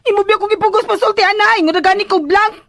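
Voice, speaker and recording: An actress playing a cheeky, pleading young caretaker in a radio drama, blurting things out in hesitant, chatty back-talk. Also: female voice, extremely high pitch, fast talker, vocal fry, a bit bright, no room sound, exaggerated voice. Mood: apologetic pleading.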